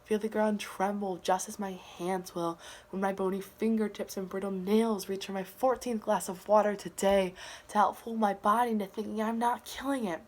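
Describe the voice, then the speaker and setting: A woman performing a slam poem in a dramatic, earnest and deliberate delivery. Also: medium pitch, fairly even intonation. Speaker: slam poet. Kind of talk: slam poetry recitation.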